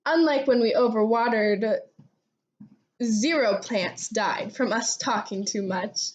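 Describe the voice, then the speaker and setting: A woman reading a children's storybook aloud expressively, with animated delivery and drawn-out phrase endings. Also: fairly high pitch. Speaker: read-aloud storybook reader. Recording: dull, lo-fi sound.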